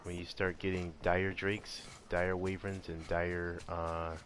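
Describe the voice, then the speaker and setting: A man giving casual, halting gameplay commentary as he explains things while playing. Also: sits low, little pitch movement, fairly bright tone, slight background noise. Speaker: video game streamer.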